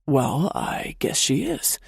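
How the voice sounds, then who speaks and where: A woman narrator voicing a confident, playful adult man, the husband, in a low teasing drawl for an audiobook. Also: huge pitch swings, slow pace, gravelly, husky, dry recording, studio quality, full character voice. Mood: warm, cheerful. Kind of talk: audiobook character voice.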